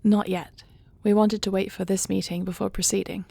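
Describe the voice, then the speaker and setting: A woman playing a dutiful, gracious dragon-shifter queen in a fantasy audio roleplay, speaking in formal, deliberate phrases. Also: mid-range voice, level tone, gravelly, warm tone, slightly rough, no echo. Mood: formal, measured.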